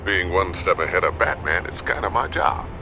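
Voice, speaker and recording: A man's voice: sits low, moderate pace, over music, lightly acted. An actor playing an eager, quippy male tech engineer in a video game, chatty and proud of his gadgets.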